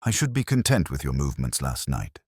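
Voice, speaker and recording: An unruffled, dryly witty detective inspector, played by a male narrator. His voice is extremely deep and close, and he questions gently with quiet authority. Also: big pitch swings, brisk, husky, no echo, exaggerated voice. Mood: calm, probing.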